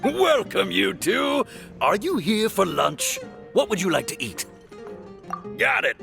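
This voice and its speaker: A man's voice: sits high, sing-song, takes it slowly, creaky, music in the background, full character voice. A man voicing a friendly, chipper male eatery server in a video game, with a hospitable manner. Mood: cheerful, welcoming.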